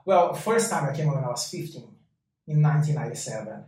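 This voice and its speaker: A man in his late thirties from Brazil, speaking English, answers slowly and haltingly, often stopping to find the right word. His voice sits at an ordinary middle pitch. He is heard from well away from the mic in a very echoey space. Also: lively intonation, darkish tone, far from the mic. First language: Portuguese. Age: late 30s. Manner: hesitant, halting, searches for words. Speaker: interview guest.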